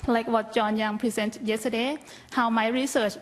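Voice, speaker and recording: A woman with a Thai accent speaks in a fairly high voice with very little melodic movement. She presents prepared material as a researcher at a conference, explaining it plainly and modestly. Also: level tone, normal speed. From Thailand. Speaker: health policy researcher, conference panelist.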